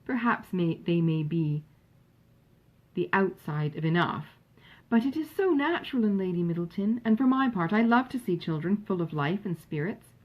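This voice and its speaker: A woman's voice: on the low side, expressive, normal speed, muffled recording. A woman reading as an ingratiating, sweet-talking young lady in a storybook, coaxing and emphatic. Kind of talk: acted dialogue in a storybook reading.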